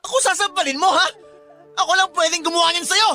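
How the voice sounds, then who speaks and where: A man's voice: very high-pitched, steady tone, fast, pushed and bright, soft background music, exaggerated voice. A man playing a callous, hot-headed boyfriend in his twenties, aggressive and dismissive, with a Filipino accent in a radio drama. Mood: angry. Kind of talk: Tagalog radio drama acting.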